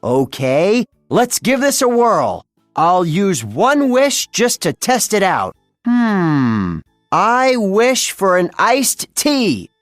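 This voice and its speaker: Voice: medium pitch, big pitch swings, slow, no echo, studio quality, faint music behind. A storybook reader voicing a bumbling, theatrical male cartoon mad scientist who scheme-talks in a grandiose, rambling, exasperated way. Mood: musing.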